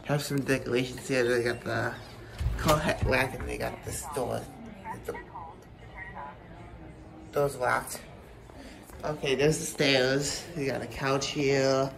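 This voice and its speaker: A man giving a casual, halting tour of a rental house, filming on a handheld phone as he walks indoors. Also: medium pitch, fairly even intonation, gravelly, a crowd in the background. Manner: rambling, halting, many fillers and restarts. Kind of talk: casual handheld walkthrough narration. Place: indoors in a rental house kitchen and living room. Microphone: phone camera held while walking. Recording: loud handling noise and clicks.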